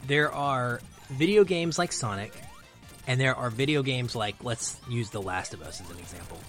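A man's voice: mid-range voice, animated, normal speed, fairly bright tone, soft background music. A man around his thirties or forties talking casually as a podcast guest, explaining his film opinions in an analytical, emphatic way.

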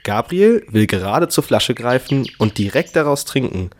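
A man's voice: mid-range voice, very animated, normal speed, slight background noise. A man narrating a scripted children's story as the storyteller of a radio play.

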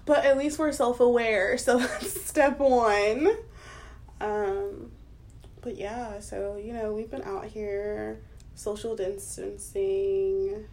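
A young woman in her twenties co-hosts a relaxed podcast chat. She talks in a middle pitch and laughs often. Her speech is loose and full of fillers. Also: takes it slowly. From United States. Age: 20s. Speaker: podcast co-host. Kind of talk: casual chat.

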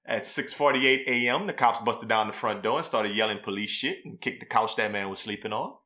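A man's voice: medium pitch, bright, forceful tone. A man co-hosting a podcast, talking in a slangy, blunt, emphatic way as he gives casual commentary. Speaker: podcast co-host.

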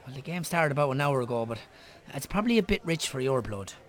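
An actor with an Irish accent playing a teasing but cautious pub landlord in a radio drama. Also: male voice, mid-range voice, sing-song, fast, natural voice. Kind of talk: naturalistic radio drama acting.

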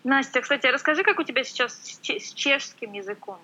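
A woman's voice: high voice, fairly quick, sharp tone, dull audio. A woman hosting an interview, asking long questions and murmuring short affirmations. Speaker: interviewer host.

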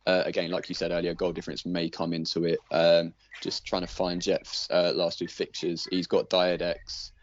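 A man's voice: sits low, monotone, moderate pace, few pauses, dull audio, a little hiss or hum. A man giving laid-back, casual commentary as a podcast panellist. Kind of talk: casual commentary on league standings.

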